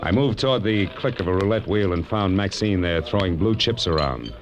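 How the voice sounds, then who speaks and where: Tough American private eye, very low voice, clipped noir delivery, wry, muffled old radio recording. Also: male voice, animated, a little fast, a crowd in the background. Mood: tense narrating. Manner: hard-boiled, clipped, wisecracking. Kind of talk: 1940s radio noir acting and first-person narration.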